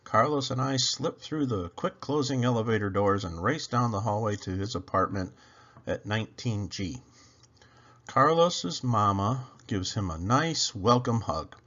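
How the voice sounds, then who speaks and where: A man reading a children's story aloud with expressive narration, as a storybook reader. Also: mid-range voice, measured pace, lo-fi sound.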